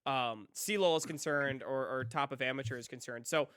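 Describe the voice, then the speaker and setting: A man's voice: medium pitch, moderate pace, a bit bright, smooth, no room sound, clean studio sound. A man co-hosting an esports podcast, enthusiastic and upbeat as he sets up questions on a video call. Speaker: podcast co-host. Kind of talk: casual interview.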